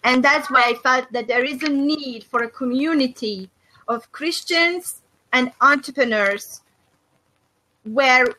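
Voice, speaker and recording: A middle-aged woman from Hungary with a Hungarian accent, hosting a faith and business video call and speaking thoughtfully with many pauses and fillers. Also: high voice, bright, forceful tone, rough recording. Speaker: host of a faith and business discussion. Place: small room indoors. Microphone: webcam on a video call.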